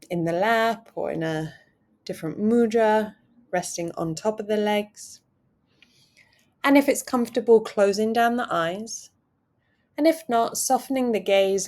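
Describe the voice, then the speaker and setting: A woman leading a guided meditation, speaking in a calm, gentle way with soft pauses between phrases. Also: mid-range voice.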